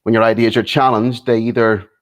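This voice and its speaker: A man's voice: medium pitch, flat delivery, a little fast. A man guesting on an interview show as a martial arts instructor, speaking thoughtfully with many ums and restarts. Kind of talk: casual interview conversation.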